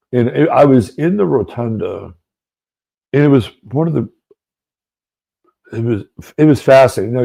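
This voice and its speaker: A man's voice: mid-range voice, creaky, dark tone, slightly rough. A middle-aged man hosting an astrology show, telling a rambling story in a loose, conversational way full of fillers and asides. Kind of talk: casual storytelling monologue.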